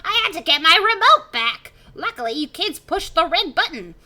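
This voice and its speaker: A woman's voice: extremely high pitch, very animated, normal speed, pushed and bright, voice pushed up, exaggerated voice. A woman voicing a frantic, snappish male professor from a children's horror storybook, with a harsh, strained voice and an exclamatory delivery. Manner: exclamatory, put-on accent.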